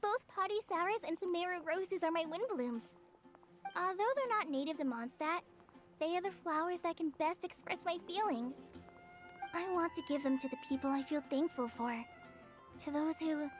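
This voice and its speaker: A woman voicing a sweet, earnest young girl in a video game, gentle and sincere. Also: high voice, normal speed, music in the background, close to an everyday voice. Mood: tender, grateful.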